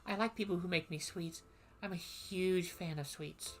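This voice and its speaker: A woman's voice: on the low side, moderate pace. A woman streamer chatting casually and playfully while reading through a game, rambling between lines. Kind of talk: casual gameplay commentary.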